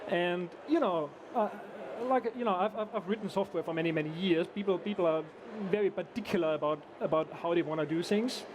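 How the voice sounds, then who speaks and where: A man's voice: high-pitched, fairly quick, few pauses, noisy background. A man from Austria, a guest engineer chatting in an interview, restarting and hesitating often. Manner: hesitant, many restarts and fillers. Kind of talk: casual conversational interview. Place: conference event.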